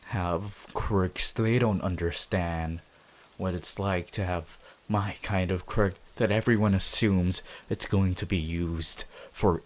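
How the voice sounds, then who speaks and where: A menacing young male villain, acted by a man for an ASMR roleplay, with a deep voice. He speaks very slowly and deliberately, leaving dramatic pauses, possessive and gloating, full of grand ambition. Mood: bitter menace. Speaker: voice actor.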